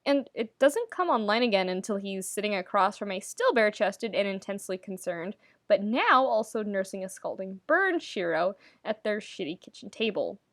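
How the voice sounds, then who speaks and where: A woman reading a scripted story aloud, with wry, dramatic timing and deliberate pauses. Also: medium pitch, sing-song, fairly bright tone, no echo, clean studio sound. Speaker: podfic narrator reading fan fiction.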